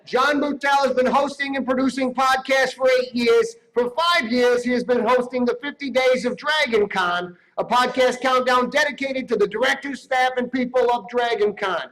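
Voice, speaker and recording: A man's voice: very high voice, normal speed, hardly any pauses, fairly bright tone, low-quality recording. A man playing a grumbling old-time comic emcee, presenting from a stage. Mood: announcing. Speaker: awards show co-host.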